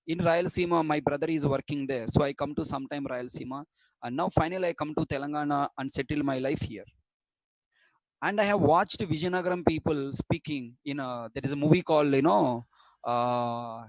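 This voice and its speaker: A man's voice: fairly high pitch, dry recording, poor audio. A stern man from Coastal Andhra with a Telugu-accented Indian English, lecturing an online programming class, repeating points and quizzing students. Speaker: programming instructor.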